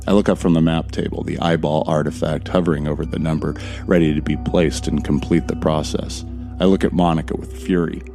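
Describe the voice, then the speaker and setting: Very deep, brooding man, over music. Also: steady tone, brisk, creaky, dark tone. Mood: seething. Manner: brooding first-person narration, profane. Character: tense, bitter young man narrating his horror ordeal. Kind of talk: scripted audio drama narration. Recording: music behind the voice.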